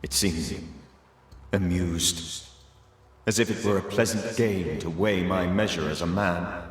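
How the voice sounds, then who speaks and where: An actor playing a grave, haunted and learned ghost of a dead man in a video game, speaking in formal, measured phrases. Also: deep voice, fairly even intonation. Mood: haunted reflection. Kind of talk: solemn video game dramatic acting. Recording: echoey hall reverb, faint noise.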